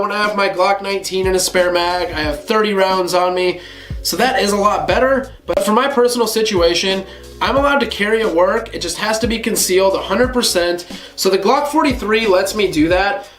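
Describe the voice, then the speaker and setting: A man hosting a casual gun review vlog, slightly hoarse from a cold, talking to viewers in a relaxed conversational way. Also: high-pitched, reverberant. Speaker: gun channel host.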